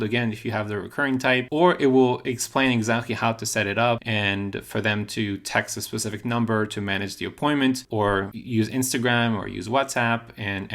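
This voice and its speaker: A man presenting a software tutorial in an unscripted, conversational way, explaining things step by step. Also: on the low side.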